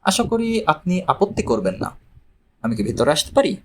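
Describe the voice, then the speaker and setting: A man voicing a polite, self-assured male professor in an audiobook, measured and orderly in his speech. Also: high voice, big pitch swings, slight background noise. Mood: composed, polite. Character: formal, self-assured male mathematics professor seeking a consultation.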